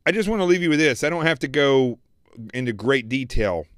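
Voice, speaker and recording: Man, show host, preachy, repetitive, hoarse, mid-pitched voice, dry close sound. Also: expressive, a little fast, a bit bright, no room sound. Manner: preachy, emphatic, repeats phrases. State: losing his voice. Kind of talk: political commentary livestream.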